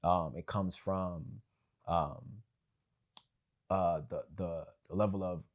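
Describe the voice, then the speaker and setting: A man's voice: sits low, steady tone, slow. A young man on a podcast, talking casually and rambling, with many fillers and restarts. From family of West Indian background. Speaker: podcast guest.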